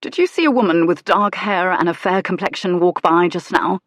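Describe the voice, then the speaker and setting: A woman voicing a resentful gentleman in a period storybook, speaking coldly. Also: fairly low pitch, normal speed, dry recording, studio quality, lightly acted. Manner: cold, clipped. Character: cold, resentful gentleman, man in his prime.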